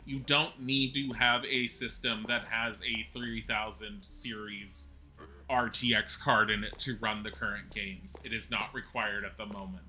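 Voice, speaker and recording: A man's voice: lowish voice, fairly even intonation, measured pace, sharp tone, poor audio. A man in his forties, a streamer chatting casually and rambling while he plays and talks with viewers. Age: 40s. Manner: rambling, chatty, laughs at own mishaps.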